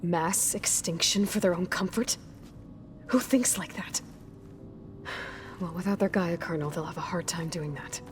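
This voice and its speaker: A woman's voice: on the low side, unhurried, pauses often, slight background noise, close to an everyday voice. A woman acting a determined young warrior heroine in a video game, direct, practical and plain-spoken in a naturalistic style. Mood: probing, serious.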